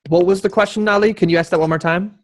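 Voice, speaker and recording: A man's voice: high-pitched, steady tone, fast talker. A man moderating an online town hall informally, passing questions along to the panelists.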